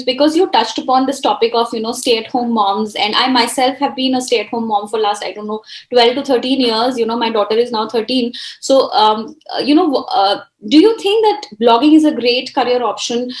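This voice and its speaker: A woman with a fairly high voice who hosts an interview over a video call. She keeps up a steady stream of encouraging affirmations and asks her questions at length, and her voice carries the ring of an echoey room. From India. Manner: encouraging, with constant short backchannels. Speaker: interview host.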